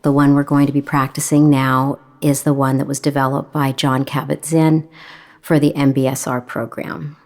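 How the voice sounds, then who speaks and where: A woman meditation instructor giving calm guidance in a gentle, measured manner, leaving long pauses between phrases. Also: extremely low pitch.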